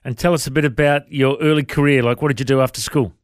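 A man's voice: mid-range voice, fairly even intonation, fast talker, clear, resonant tone, no room sound, studio quality. Australian man hosting an interview. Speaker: interview show host. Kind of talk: interview chat.

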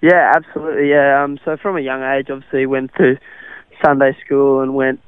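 A man's voice: mid-range voice, little pitch movement, a little fast, clear, resonant tone, no echo. A young man from South Africa with an Australian accent, Afrikaans his first language, answering radio interview questions hesitantly with many fillers. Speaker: interview guest, cricketer. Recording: tinny phone-like radio line.

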